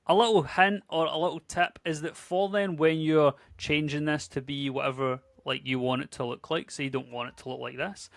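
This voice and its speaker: Scottish guy in his 30s, tutorial host, chatty and upbeat, bit of fry, dry close sound. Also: a little high, a bit bright, no room sound. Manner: chatty, upbeat, conversational with filler words. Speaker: tech YouTuber. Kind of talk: casual screen-share tutorial.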